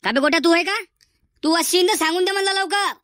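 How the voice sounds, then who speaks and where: A man voicing an exasperated village schoolteacher in a comedy cartoon, hectoring in a Varhadi Marathi accent through a pitched-up effect. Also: fast talker, bright, forceful tone, no echo, studio quality. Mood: threatening. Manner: hectoring, repetitive, threatening.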